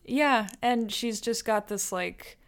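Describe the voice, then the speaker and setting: A woman's voice: on the high side, slow, smooth. A young adult woman co-hosts a casual film chat, talking in loose, winding sentences. She laughs often and leaves thoughts trailing off. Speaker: podcast co-host.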